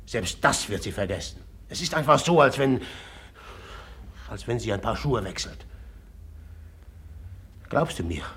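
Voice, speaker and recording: A man's voice: lowish voice, fairly bright tone, breathy edge, heavily acted. A jealous, brooding young man. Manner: brooding, swings from whispering bitterness to bursts of agitation. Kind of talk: 1950s German radio drama acting.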